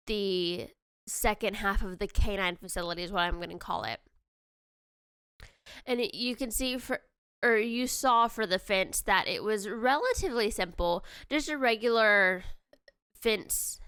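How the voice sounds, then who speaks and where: A woman's voice: mid-range voice, fairly bright tone, clear, resonant tone, no echo, studio quality. Nasal chatty woman with a cold. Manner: rambling, self-correcting, chatty. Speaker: YouTube host. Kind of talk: casual game commentary voice-over.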